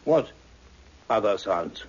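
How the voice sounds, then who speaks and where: A man's voice: on the low side, animated, breathy edge, full character voice. Grave, fatherly doctor, muffled radio. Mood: fatherly, urgent. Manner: measured, intense, literary storytelling. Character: grave, fatherly doctor of science narrating events. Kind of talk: dramatic 1960s radio narration and acting. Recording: dull, noisy old radio recording.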